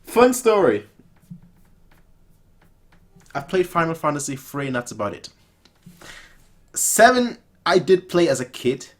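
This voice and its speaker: A man giving casual, excitable reaction commentary over a video, often repeating himself for emphasis. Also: fairly high pitch, unhurried, pauses often.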